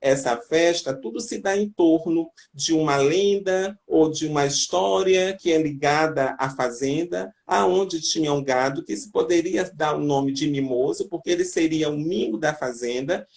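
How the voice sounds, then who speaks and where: Gravelly man explaining folklore. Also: a little high. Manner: rambling, explanatory, many tag questions. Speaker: tourism host. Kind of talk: casual live-stream explanation.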